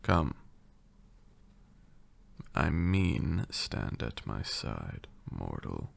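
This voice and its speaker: A man playing a commanding vampire lord and magic mentor in a roleplay ASMR, with a gravelly voice and a deliberate, dryly sarcastic manner. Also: very animated, very noisy, full character voice. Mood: dry, teasing. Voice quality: gravelly, very deep, breathy at times. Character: stern, teasing old male vampire lord, mentor in magic.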